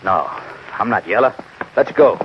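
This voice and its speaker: A man's voice: lowish voice, expressive, normal speed, lightly acted. A man playing a sour, uneasy rancher who needles and distrusts, in an old-time western radio drama. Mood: uneasy, suspicious. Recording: dull, faintly noisy old radio recording.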